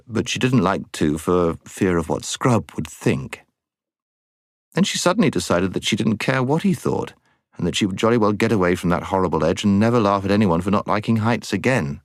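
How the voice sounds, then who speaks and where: Low voiced guy reading a storybook, really animated and dramatic, measured phrasing. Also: dry recording, studio quality. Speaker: audiobook narrator. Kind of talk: expressive storybook narration.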